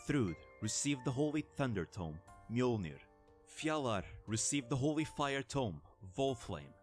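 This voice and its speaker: A man narrating a scripted fantasy war story as the narrator of an audio drama. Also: medium pitch.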